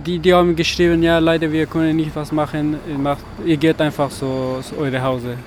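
A man from Afghanistan speaking haltingly at a street protest, often pausing to search for words. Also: a little high, level tone, background noise. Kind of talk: street interview. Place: outdoors on a city street.

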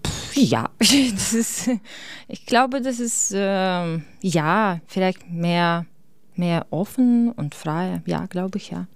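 A woman from Ukraine, a photographer in her forties, answering interview questions in halting German with many fillers. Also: fairly low pitch, expressive, no room sound. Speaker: guest photographer. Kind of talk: radio interview.

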